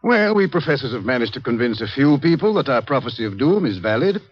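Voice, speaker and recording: A man's voice: medium pitch, sing-song, quick pace, breathy edge. An actor playing a scrawny, eccentric male scientist who lectures wryly and theatrically in a 1950s radio drama. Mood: wry, explanatory. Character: scrawny, wry male scientist, eccentric professor foretelling doom. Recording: dull vintage 1950s radio recording.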